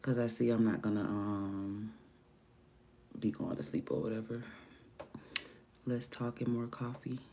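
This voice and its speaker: A woman chatting casually on a morning livestream from home, her voice deeper than usual from just waking up, rambling and drifting between soft murmurs and livelier bursts. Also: steady tone, a little slow, long pauses, a little hiss or hum. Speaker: livestreamer and music artist.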